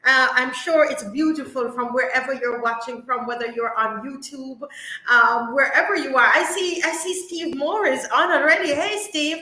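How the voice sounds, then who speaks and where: A woman hosts a live online talk show with a bright, high voice. She speaks earnestly and with animation, calling out to her viewers. Her voice rings in an echoey room. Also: moderate pace.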